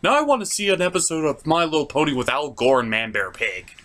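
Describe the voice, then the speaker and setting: A man's voice: a little high, very animated, quick pace, bright, forceful tone. A man narrating a scripted comedic review as host, in a sarcastic, theatrical way.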